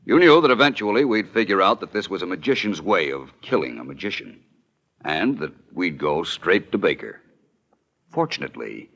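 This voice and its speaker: An actor playing a confident, commanding amateur detective in 1940s radio drama style, assured and deliberate. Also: male voice, low voice, gravelly, fairly bright tone, dull audio, exaggerated voice.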